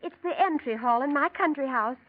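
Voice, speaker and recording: An actress playing a young, eager society shopper in 1940s radio drama, polite and gushing. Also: female voice, high voice, expressive, fairly quick. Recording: muffled vintage radio recording.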